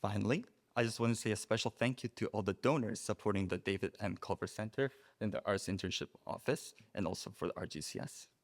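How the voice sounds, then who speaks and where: A young man, born in South Korea, stands up as a student speaker at an awards reception. His prepared speech is earnest and formal. His voice sits a little low. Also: quick pace, hardly any pauses. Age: young adult. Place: reception room at a faculty club.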